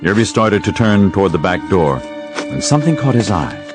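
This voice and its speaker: A man narrating an audio drama in a measured storytelling manner, with music behind. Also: on the low side, sing-song.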